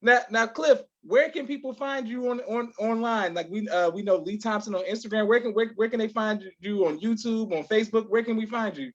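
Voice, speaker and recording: A man's voice: high voice, a little fast, hardly any pauses. A man hosting a casual live interview, effusive and affirming, often repeating his words. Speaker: podcast host. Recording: dull, lo-fi online call audio.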